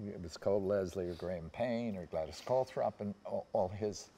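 Older american guy, kinda low voice, rambly and halting, reminiscing on a panel. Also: warm tone, a little hiss or hum. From United States. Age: 70s. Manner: halting, rambling, trailing off and circling back. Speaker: panel guest recalling personal memories. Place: seated on a theatre stage.

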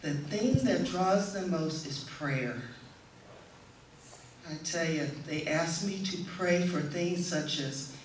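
Slow-speaking woman in a very echoey hall. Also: sits low. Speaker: speaker giving a presentation. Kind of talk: prepared testimonial speech read to an audience. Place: indoor stage in a hall, before an audience. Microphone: stand microphone, heard from a distant camera. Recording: echoey hall, distant camera, faint noise.

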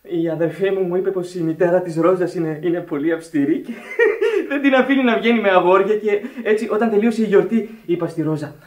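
A man plays a young sailor with a high voice, eager to please and easily flustered. He stammers politely in theatrical radio acting. The old recording sounds roomy and a little far from the mic. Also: few pauses, a little mellow, lightly acted. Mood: nervous. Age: young adult.

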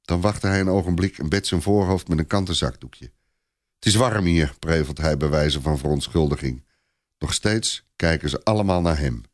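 A man's voice: a bass voice, moderate pace. A man narrating a scripted story in a dramatic style.

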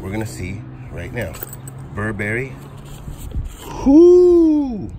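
A man in his forties, chatty and excited, talking to viewers while unboxing outdoors beside storage units. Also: fairly low pitch, slow pace. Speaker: storage auction reseller. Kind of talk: casual unboxing vlog. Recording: outdoor noise behind voice.

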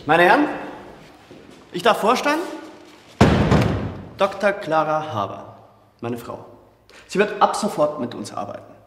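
A man's voice: a little high, sing-song, measured pace, pauses often. An actor in a television period drama playing a formal professor who introduces his wife to colleagues in a declamatory manner. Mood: formal, proud. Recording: echoey noisy hall, TV film excerpt.

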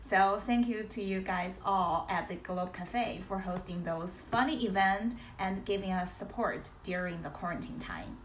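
A woman's voice: mid-range voice, measured pace, hardly any pauses, slight background noise. A woman from China, a master's student speaking in a friendly, grateful way. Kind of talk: casual testimonial.

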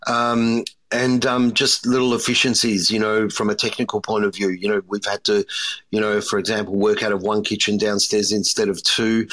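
A middle-aged man from Australia, a restaurateur answering interview questions thoughtfully, with many pauses and restarts. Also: on the low side, fairly bright tone. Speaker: restaurateur and chef, guest.

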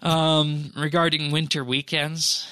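A man co-hosting a podcast, chatting casually about game news in a measured, hesitant way. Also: fairly high pitch, level tone, noisy background. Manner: measured, hesitant, drawn-out phrasing. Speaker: podcast co-host. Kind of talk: casual gaming news chat.

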